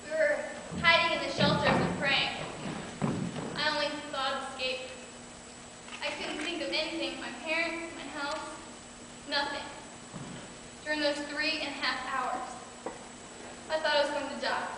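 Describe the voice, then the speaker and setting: A woman's voice: high voice, steady tone, moderate pace, pauses often, natural voice. Young woman as a shaken survivor, echoey hall. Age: young adult. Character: shaken female survivor giving wartime testimony. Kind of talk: scripted stage monologue. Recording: echoey hall from far off, noisy.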